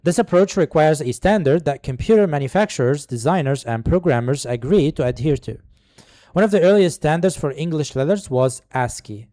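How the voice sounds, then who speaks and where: A man's voice: on the high side, animated, a little fast, mellow, no room sound. A man whose first language is Arabic, hosting a tech tutorial. He reads the course text aloud in a casual way, adding asides and fillers. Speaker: tutorial host. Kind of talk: screen-recorded tech tutorial.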